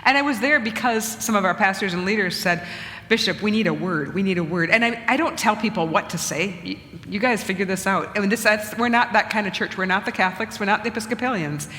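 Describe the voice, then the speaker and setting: A middle-aged woman bishop, speaking in an earnest, measured way and thinking aloud in a conversational church talk. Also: mid-range voice, fairly bright tone. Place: church sanctuary. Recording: long reverb of a church hall.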